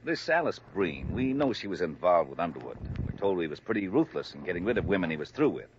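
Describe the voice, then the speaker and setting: A muffled old radio drama recording with faint noise. an American police lieutenant with a very low voice. He is businesslike and probing, putting his questions in an official, deliberate way. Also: sing-song. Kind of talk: 1950s radio detective drama acting.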